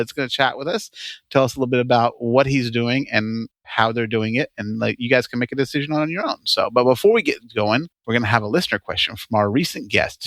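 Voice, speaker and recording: A man's voice: mid-range voice, a bit bright, no room sound. A man co-hosting a podcast in a casual, jokey, informal way, bantering and introducing segments. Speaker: podcast co-host.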